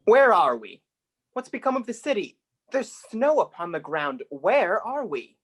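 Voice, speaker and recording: A man's voice: high-pitched, very animated, unhurried, a bit bright, slightly rough, soft background music, heavily acted. A man acting a grumpy, miserly old man in a radio play, blustering and grumbling. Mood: alarmed.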